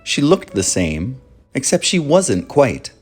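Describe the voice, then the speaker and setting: A man hosting a children's read-aloud, narrating from a script in a measured, clearly phrased way. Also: mid-range voice, huge pitch swings, faint music behind.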